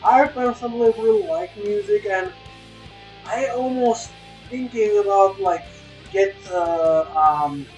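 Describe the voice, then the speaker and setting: A high-pitched male voice in a roomy, echoing space with music behind it. He is an English speaker, reviewing a game off the cuff. His delivery halts and circles back as he hunts for the next word. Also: highs cut off. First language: a language other than English. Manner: halting and repetitive, searching for words. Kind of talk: casual unscripted review commentary. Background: soft guitar game music.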